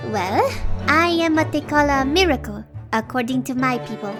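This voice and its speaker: A woman playing a gentle, modest young female healer, polite and slightly formal, in a fan audio drama. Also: high-pitched, expressive, normal speed, music in the background.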